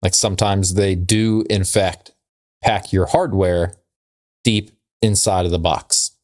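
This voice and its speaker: A man's voice: deep voice, fairly even intonation, slow, a little mellow. A man co-hosting a podcast, reading out trivia and cracking jokes in a dry, casual way. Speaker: podcast co-host.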